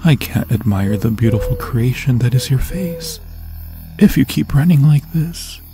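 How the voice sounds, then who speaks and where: A man voicing an obsessive, lovesick forest demon in an ASMR fantasy roleplay, breathy and slipping into whispers, with a theatrical, sweetly taunting manner. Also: mid-range voice, lively intonation, a little slow, mellow. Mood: adoring, possessive.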